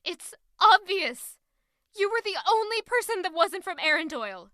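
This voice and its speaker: A woman's voice: extremely high pitch, very animated, moderate pace, pushed and bright, no room sound, clean studio sound, full character voice. A woman acting a stubborn, grieving young refugee who feels betrayed, intense and accusatory in a fantasy audio drama. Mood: accusing. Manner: intense, accusatory, emotionally charged.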